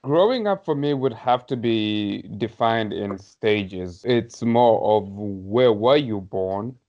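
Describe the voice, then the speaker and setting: Low-voiced Somali-born man, dull audio. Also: expressive, slow, a little mellow. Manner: thoughtful, hedging, with light laughs. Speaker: podcast guest. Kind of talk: reflective personal storytelling.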